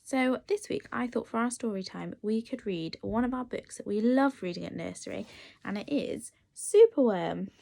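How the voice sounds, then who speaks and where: A woman reading a picture book aloud as a nursery teacher, expressive and encouraging as she addresses young children. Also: mid-range voice, moderate pace, creaky, dry recording. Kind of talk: animated children's storytime reading.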